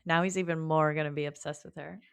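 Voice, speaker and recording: A woman's voice: sits low, steady tone, fast talker, gravelly, smooth, no room sound. A woman co-hosting a book club podcast, speaking in an informal, colloquial way as she chats and recaps. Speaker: podcast co-host. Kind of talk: casual book club chat with read-out chapter recap.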